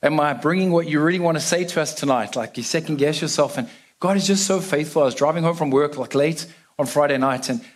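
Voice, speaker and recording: A man's voice: on the high side, fast talker. A young South African man giving a casual, joking sermon to a church congregation. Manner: casual, self-deprecating, chatty with fillers. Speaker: guest preacher.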